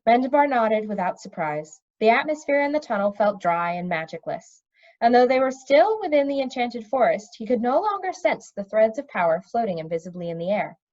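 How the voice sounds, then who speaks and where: A woman reading a children's fantasy novel aloud as an expressive storybook narrator. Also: fairly high pitch, a little fast. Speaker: storybook reader. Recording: dull, lo-fi recording.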